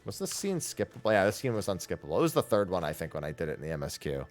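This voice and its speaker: A man's voice: deep voice, very animated, rapid-fire, faint music behind. A man streaming a game with casual, blunt and sweary commentary. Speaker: streamer playing a story game. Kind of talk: casual game commentary, reading dialogue aloud.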